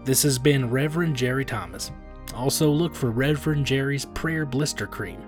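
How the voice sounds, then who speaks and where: A man's voice: medium pitch, normal speed, lightly acted. A man playing a pompous, moralizing reverend giving a solemn, preachy radio sermon. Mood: pious warning. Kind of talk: 1930s radio sermon parody. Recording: guitar music behind the voice.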